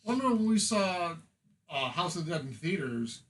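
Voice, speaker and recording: A man co-hosting a podcast, telling anecdotes in a casual, profane and animated way. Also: fairly high pitch, brisk.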